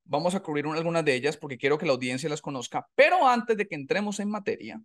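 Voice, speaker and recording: A man's voice: a little high, expressive, fast talker. A man hosting a podcast by video call, upbeat and thankful, often repeating his phrases. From Colombia. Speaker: podcast host. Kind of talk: casual interview chat. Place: home setup in a US city.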